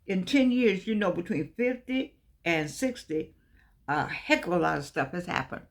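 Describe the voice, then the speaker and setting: A woman's voice: on the low side, expressive, normal speed. A woman in her eighties giving a casual motivational talk to her viewers, folksy and emphatic, often repeating herself. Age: 80s. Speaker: vlogger.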